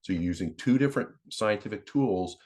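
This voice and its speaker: Very low-pitched man, halting explainer. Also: animated, a little mellow. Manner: halting, repeats words while explaining; instructional, addressing viewers. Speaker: presenter. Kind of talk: informal device demonstration.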